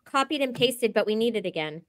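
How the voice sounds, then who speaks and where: A woman with a fairly high voice chats as a guest on a live stream. Her delivery is casual and conversational. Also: animated, brisk. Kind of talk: casual chat.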